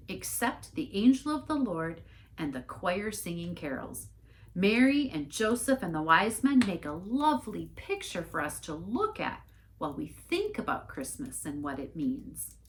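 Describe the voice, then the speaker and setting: A woman's voice: on the low side, sing-song, measured pace. A woman voicing an earnest, harried mother in a storybook, instructing children insistently. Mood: exasperated, insistent.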